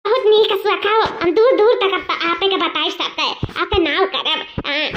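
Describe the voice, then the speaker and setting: A woman voicing a fretful, excitable village mother in a comic cartoon, chattering repetitively in a rustic eastern Uttar Pradesh accent. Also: very high-pitched, normal speed, slight background noise. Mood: relieved, grateful. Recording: dull lo-fi cartoon soundtrack.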